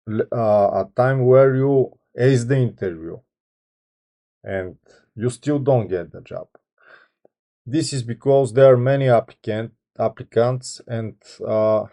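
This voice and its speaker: A man in his thirties with a Bulgarian accent, a software engineer talking to camera without a script, hesitant, with many ums and restarts. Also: mid-range voice. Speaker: software engineer channel host. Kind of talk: unscripted talk to camera.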